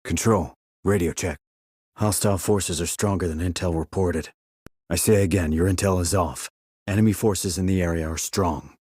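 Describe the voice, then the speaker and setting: An actor playing a terse, dry, confident military sniper in a video game, with a husky voice and clipped, controlled reports. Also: male voice, sits low, fairly even intonation, moderate pace, a little mellow, no room sound. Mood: businesslike. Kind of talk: scripted military game dialogue.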